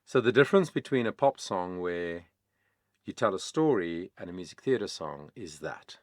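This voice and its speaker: Chatty Afrikaans-speaking man, low voice. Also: lively intonation, moderate pace, dry recording, studio quality. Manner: chatty, explanatory, many "um" fillers. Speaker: vocal coach, channel host. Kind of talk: casual reaction-video talk.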